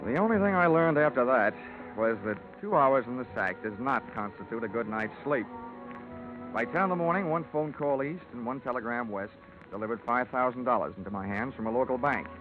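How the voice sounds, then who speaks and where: A man's voice: mid-range voice, animated, a little fast, lightly acted. A man playing a tough, self-assured insurance investigator in a radio drama, narrating and talking in a dry, sardonic, hard-boiled way. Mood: narrating, brisk. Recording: tinny old radio recording with music.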